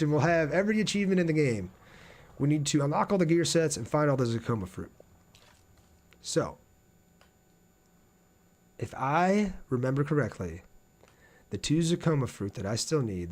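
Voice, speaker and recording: A man's voice: on the high side, darkish tone. A man giving casual live gameplay commentary as a game streamer, talking to his viewers as he plays.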